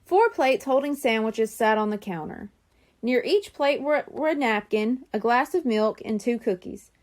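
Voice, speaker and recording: A woman narrating a children's storybook in an animated, scripted reading style. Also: on the high side, normal speed. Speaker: audiobook reader.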